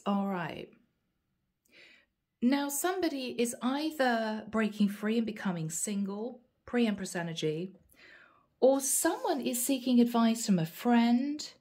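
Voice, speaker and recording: A woman with a head cold giving a tarot card reading, musing softly and thinking aloud as she goes. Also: fairly high pitch. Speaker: tarot reader.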